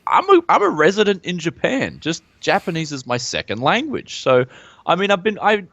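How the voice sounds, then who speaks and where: A man, co-hosting a casual tech chat in a relaxed, rambling way. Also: moderate pace, creaky, no room sound. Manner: relaxed and rambling, pitch jumps up when excited. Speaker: co-host. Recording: dull, dry lo-fi sound.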